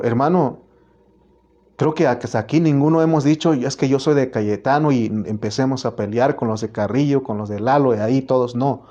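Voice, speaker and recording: A man's voice: mid-range voice, warm tone, dull audio. A man with a Mexican Spanish accent preaching a sermon as pastor, earnest and repetitive, often restarting his words.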